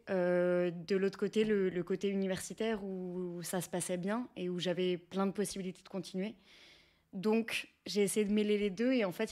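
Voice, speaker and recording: Young french woman as podcast guest, medium pitch, chatty but reflective, pauses to find words. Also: darkish tone. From France. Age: young adult. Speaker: guest, researcher, teacher and actress. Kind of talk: conversational interview answers.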